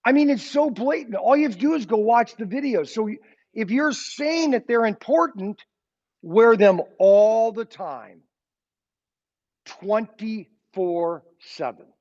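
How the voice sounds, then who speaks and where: A man's voice: high-pitched, expressive, slow, darkish tone, lo-fi sound. A man in his sixties teaching an informal Sunday school Bible lesson, rambling and often checking in with his listeners. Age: 60s. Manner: rambling, keeps checking in with "okay?".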